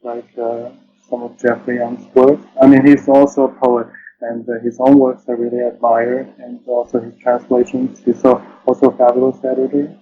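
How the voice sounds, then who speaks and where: A man's voice: mid-range voice, flat delivery. Hesitant man on a dull, noisy call. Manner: hesitant, pausing mid-phrase, repeating himself. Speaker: interview guest, poet and translator. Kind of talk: casual interview chat. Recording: dull lo-fi call with clear noise.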